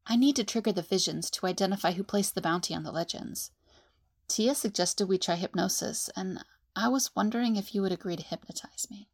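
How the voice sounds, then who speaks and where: An actress playing a calm, serious, sensible seer in an audio drama, measured and earnest. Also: female voice, mid-range voice, slightly rough, dry recording, studio quality.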